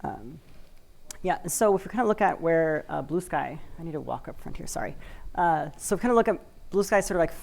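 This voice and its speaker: A woman from Washington State speaks from a conference stage in a loose, conversational way, leaning on fillers and hedges. Her voice sits low with a gravelly, creaky edge. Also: expressive, fairly quick, darkish tone. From Washington State, USA. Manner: conversational, many fillers and hedging words. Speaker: conference speaker for a community cooperative. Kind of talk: live conference talk. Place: conference stage, in front of an audience.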